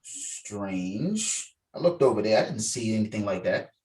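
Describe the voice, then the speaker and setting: A man's voice: fairly low pitch, sing-song, a little slow, a little mellow. A man casually running a script table read over a video call, giving directions and reading out stage directions. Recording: distant mic on a video call.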